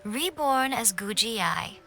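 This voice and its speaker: A woman's voice: a little high, animated, takes it slowly, bright, forceful tone, faint music behind, heavily acted. A woman voicing a sly, elegant, worldly priestess in a video game, with a teasing, poised and knowing manner. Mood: playful.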